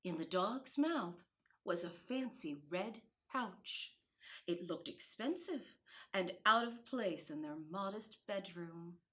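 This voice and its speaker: A woman reading a children's Christmas storybook aloud in an expressive, deliberate way. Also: on the low side. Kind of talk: storybook narration.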